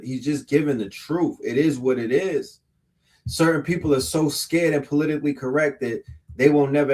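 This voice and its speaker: A man's voice: medium pitch, darkish tone, poor audio. A male host comments on a clip, driving his points home with emphatic repetition. His voice sits in a plain middle register and keeps a preachy, insistent tone. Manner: emphatic, preachy, repeats himself.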